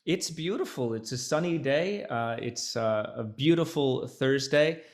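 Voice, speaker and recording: A man's voice: mid-range voice, lively intonation, unhurried. A man who is an actor, a guest chatting casually in an interview over a video call. He speaks thoughtfully, with many fillers and restarts. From Netherlands, by his mother.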